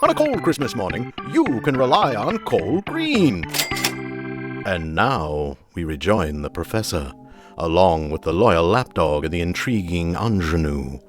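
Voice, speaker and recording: Vintage radio pitchman: grandiose, florid, lowish voice with sweeping intonation over prominent music. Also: lively intonation, unhurried. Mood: portentous. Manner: florid, alliterative, showy salesmanship. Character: grandiose male old-time radio announcer and sponsor pitchman. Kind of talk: 1930s-style radio serial announcing. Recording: music bed behind the announcer.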